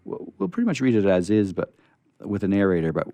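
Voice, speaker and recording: A male radio host with a very low, creaky voice, chatting casually. He rambles and hesitates in a folksy manner, with lively swings in pitch and a close, dry sound. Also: a little fast, dry recording. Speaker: host of a radio storytelling show. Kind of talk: casual unscripted show chat.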